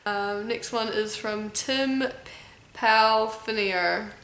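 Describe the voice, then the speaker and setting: Brief: female vlogger with a blend of New Zealand and American accent. Mid-pitched, chatty, lots of "um"s. Recorded in a room with clear echo. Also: drawn-out words, fairly bright tone, slight background noise. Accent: mix of New Zealand and American. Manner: chatty, stops often with "um" and stumbles over names. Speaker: competitive eater and YouTuber. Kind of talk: casual Q&A vlog.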